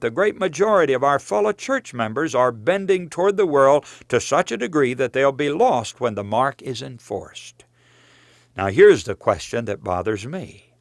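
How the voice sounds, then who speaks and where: Male preacher reading a written sermon. Earnest and emphatic, widely varied pitch, dry close sound. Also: no room sound. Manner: earnest, emphatic, measured delivery. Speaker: preacher and author reading his own book. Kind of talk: scripted sermon-like book reading with quotations.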